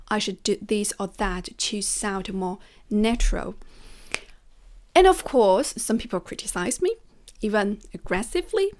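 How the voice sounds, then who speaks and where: A woman whose first language is Chinese, talking straight to her viewers in an earnest, encouraging way about learning languages. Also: medium pitch. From China. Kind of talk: personal vlog monologue.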